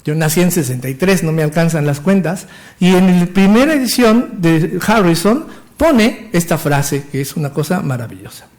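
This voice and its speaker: A man's voice: on the high side, expressive, moderate pace, a little mellow. A man in his fifties with a Mexican Spanish accent, giving an enthusiastic and technical medical lecture with some stumbles and restarts. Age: 50s. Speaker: physician lecturer.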